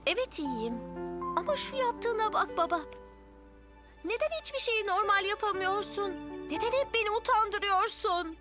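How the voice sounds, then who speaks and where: An extremely high little-girl cartoon voice, outspoken and easily embarrassed. Her manner is pleading and exasperated. Clear background music runs under the speech. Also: lively intonation, normal speed. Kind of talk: dubbed children's cartoon acting.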